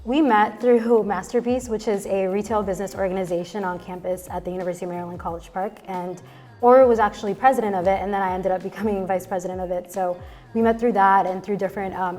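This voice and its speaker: A woman's voice: mid-range voice, fairly even intonation, fast, darkish tone, faint music behind. A young woman from Ethiopia, a shoe brand co-founder, answering interview questions hesitantly. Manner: hesitant, many "you know" fillers.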